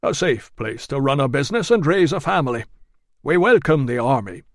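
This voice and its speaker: Man playing a formal guild man, rich bass, slow and measured, dry sound. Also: animated, no room sound, lightly acted. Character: dignified middle-aged local guild man.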